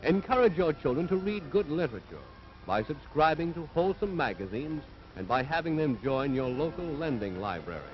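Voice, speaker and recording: American man, vintage 1940s narration, earnest and authoritative, very lively intonation, dull old film soundtrack. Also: a little high, brisk, over music. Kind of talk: 1940s scripted newsreel-style narration.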